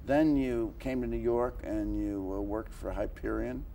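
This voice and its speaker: Older male talk-show interviewer, somewhat low voice, measured questions broken by frequent pauses. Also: gravelly, dark tone, a little hiss or hum. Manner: halting, deliberate, frequent pauses. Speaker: interview host.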